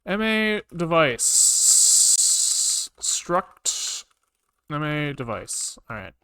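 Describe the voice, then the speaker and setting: A man's voice: fairly high pitch, big pitch swings, drawn-out words, pushed and bright, clean tone, no room sound, poor audio. A man thinking aloud as he programs on a livestream, muttering code in fragments and swearing casually, close to a desk microphone.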